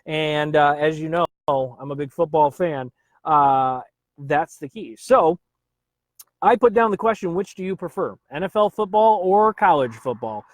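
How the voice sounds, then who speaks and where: A chatty man hosting a live stream from home, his voice a touch higher than most men's. He keeps things upbeat, greets viewers by name and drops easy uhs between thoughts. The sound is close and dry. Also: expressive, a little slow, no echo. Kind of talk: casual live stream chat.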